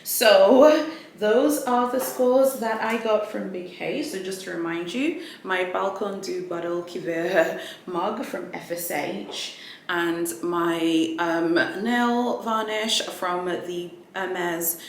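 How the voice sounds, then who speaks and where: A woman's voice: on the low side, takes it slowly, roomy sound, slight background noise. A woman whose first language is Swahili, chatting casually and rambling through a luxury unboxing vlog, with frequent restarts and fillers. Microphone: ring light setup, camera mic.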